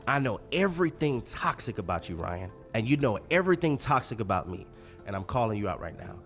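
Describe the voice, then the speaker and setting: A man's voice: lowish voice, very animated, brisk, a bit bright, soft background music. A man speaking as a pastor in a candid, plainspoken talk about life, repeating phrases and addressing listeners directly. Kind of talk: candid relationship talk with faith references.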